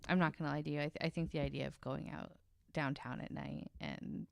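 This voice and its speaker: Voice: mid-range voice, fairly even intonation, fairly quick, vocal fry, no echo, clean studio sound. A young adult podcast panelist chatting casually and candidly, with some swearing. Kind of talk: casual chat.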